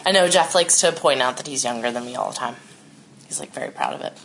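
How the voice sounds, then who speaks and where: A woman's voice: very deep voice, expressive, fast, a bit bright. A woman in her thirties with a cold, co-hosting a podcast and making dry joking asides. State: has a cold, deep voice. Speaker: podcast co-host. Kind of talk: casual chat.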